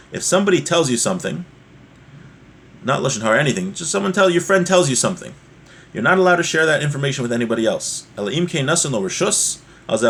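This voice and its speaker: A man's voice: mid-range voice, fairly bright tone. A man with a mid-range voice gives an informal religious lesson. He reads from a source, then translates and explains it, often checking in with short questions. There is some background noise on the recording. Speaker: religious teacher.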